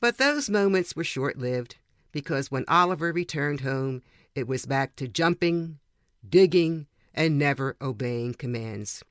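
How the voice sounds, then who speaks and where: A man's voice: a little high, lively intonation, unhurried, fairly bright tone, no room sound, clean studio sound. A man reading a humorous personal story aloud as scripted narration. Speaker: reader of short stories for a podcast.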